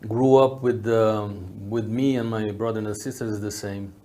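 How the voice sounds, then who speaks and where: Low-voiced man, halting in thought. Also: steady tone, warm tone. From Brazil, grew up in Rio. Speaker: interview guest, hotel executive. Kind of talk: conversational interview answers.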